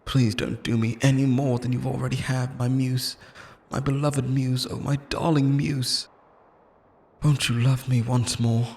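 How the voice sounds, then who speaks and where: A man's voice: medium pitch, level tone, a little slow, gravelly, a little mellow. A man playing an obsessive, lonely immortal lover in an intimate, theatrical roleplay monologue, pleading and possessive, moving between tenderness and menace. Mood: desperate pleading.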